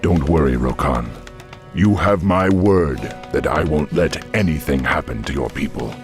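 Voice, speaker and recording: An actor playing a noble, steadfast orc war chief in a fantasy game, gravelly and authoritative, speaking in a measured way. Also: male voice, low-pitched, full character voice. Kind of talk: fantasy game character acting. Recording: music under the voice.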